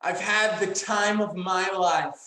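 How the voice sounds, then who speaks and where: An actor playing an earnest, pleading young time traveler in a melodramatic sci-fi screenplay reading, with halting delivery. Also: male voice, sits high, fairly even intonation, bright, forceful tone, reverberant, heavily acted, poor audio.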